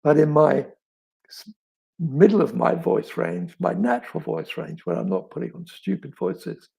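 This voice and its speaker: A middle-aged man with a crackly, raspy voice, as if with a frog in his throat, chatting reflectively as an author and telling stories with thoughtful pauses and emphasis. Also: fairly high pitch, big pitch swings, mellow. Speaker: author answering audience questions. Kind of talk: reflective live chat, storytelling.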